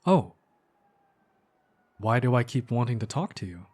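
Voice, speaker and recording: An earnest young male best friend played by a man in an ASMR roleplay. He speaks at an average male pitch with casual, hesitant phrasing, in an intimate, soft-hearted way, as if to one close listener. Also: big pitch swings, unhurried. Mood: hesitant, sincere.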